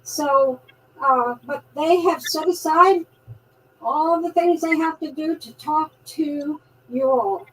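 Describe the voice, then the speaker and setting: A woman's voice: high voice, slow pace, fairly bright tone. An older woman from New York with a New York accent, a guest activist talking in a folksy, chatty way with frequent restarts and fillers. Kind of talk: live online talk show, casual monologue. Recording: distant mic with faint background noise.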